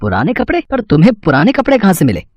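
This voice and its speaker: A man voicing an irritable, ambitious young husband with a brisk, exasperated manner in a cartoon story. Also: sits high, wildly swooping pitch, no room sound, studio quality, full character voice. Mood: annoyed. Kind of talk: Hindi moral story cartoon acting.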